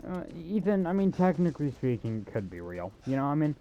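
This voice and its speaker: A man's voice: a little high, big pitch swings, fairly quick, highs cut off. A young man giving casual, joking game commentary in a rambling, sarcastic way. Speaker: gamer.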